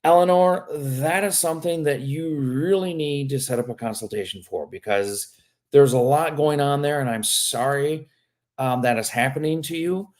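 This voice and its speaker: A man's voice: a little high, moderate pace, a little mellow. A man working as an immigration lawyer, answering viewer questions on a live stream in a casual, frank way and often tagging on "okay?". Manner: reads viewer questions aloud and answers them.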